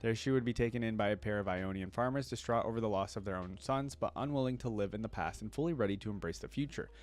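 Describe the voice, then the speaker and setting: A man with a fairly low voice makes a reaction video. He moves between reading reference text aloud and casual commentary, thinking through details as he goes. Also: quick pace. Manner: thinks aloud, interjecting between read passages.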